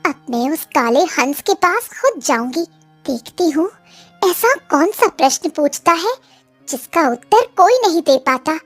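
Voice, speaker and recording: A woman's voice: sits high, very animated, a little slow, no echo, soft background music. A woman voicing an emphatic, pleading young village woman in a Hindi cartoon. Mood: determined. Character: Gauri, distraught but determined young village woman.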